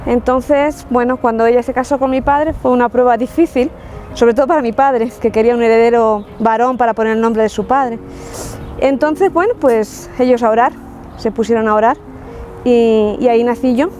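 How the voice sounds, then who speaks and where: A woman's voice: on the high side, fairly even intonation, normal speed, darkish tone, faint music behind. A woman from La Mancha in Spain with a Spanish accent, telling her personal faith testimony in an earnest, reflective way with frequent restarts. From Spain, Ciudad Real. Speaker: testimony speaker.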